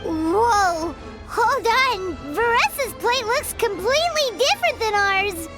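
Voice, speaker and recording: Tiny game fairy girl, extremely high squeaky voice, animated pitch, dramatic, greedy, music behind. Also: takes it slowly, exaggerated voice. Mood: astonished, determined. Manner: squeaky, refers to self in third person, exclamatory. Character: small excitable floating fairy girl companion, greedy and dramatic. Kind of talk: video game voice acting.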